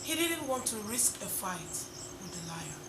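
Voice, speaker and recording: A woman's voice: medium pitch, sing-song, measured pace. A woman narrating a bedtime story as a storyteller. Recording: clear insect and outdoor ambience noise.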